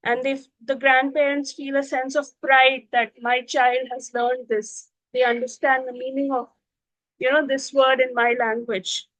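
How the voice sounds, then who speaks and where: A middle-aged woman whose first language is Urdu, a Montessori teacher talking gently and unhurriedly, with many pauses and "you know"s. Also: high-pitched, little pitch movement, dry recording, poor audio. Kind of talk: reflective talk to camera.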